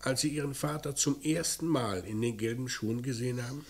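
An actor playing a stern, dry police inspector with a curt, sardonic way of questioning, in a German radio crime drama. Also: male voice, medium pitch, a little fast, creaky, lightly acted. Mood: stern, probing. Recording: noisy old radio drama recording.

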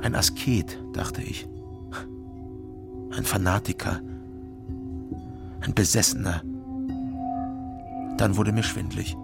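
Laconic detective narrator, gravelly, terse, wary, deadpan, radio crime drama, music underneath. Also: male voice, fairly low pitch, takes it slowly, pauses often, full character voice. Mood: grim, tense. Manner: terse, deadpan, clipped sentences.

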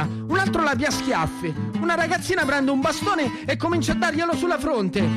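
An actor with a central Italian, Roman accent playing a haunted, fervent ex-partisan in a radio theatre monologue, telling it colloquially and with insistent repetition. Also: male voice, high-pitched. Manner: dramatic storytelling with colloquial dialect and insistent repetition. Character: Italian ex-partisan man recounting war memories and dreams, haunted, fervent. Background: plucked guitar music.

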